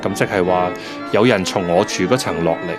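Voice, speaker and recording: A man's voice: deep voice, moderate pace, loud music behind the voice, close to an everyday voice. A man playing a suspicious tenant who narrates his own investigation in a Cantonese radio drama, with a Hong Kong accent. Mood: tense, suspicious. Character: suspicious male tenant nervously investigating a hidden camera.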